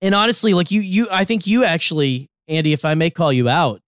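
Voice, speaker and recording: A man's voice: fairly high pitch, steady tone, a little fast, a bit bright, no echo, studio quality. A young man co-hosting a podcast, talking earnestly in a rambling way, with lots of restarts. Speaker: podcast co-host. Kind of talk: casual podcast chat.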